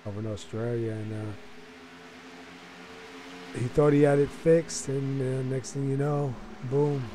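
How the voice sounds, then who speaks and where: A man doing play-by-play for a racing league, his voice worn hoarse by a cold. He talks in a relaxed, conversational way with lots of fillers, and clear car and engine noise runs underneath him. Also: medium pitch, a little slow. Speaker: race commentator. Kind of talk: sim racing play-by-play commentary.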